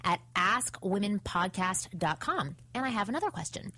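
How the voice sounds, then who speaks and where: A woman's voice: mid-range voice, sing-song, normal speed, pushed and bright, slightly rough. Female podcast host, mid-range voice. Casual, conversational delivery, prompting a panel. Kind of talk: casual advice panel chat.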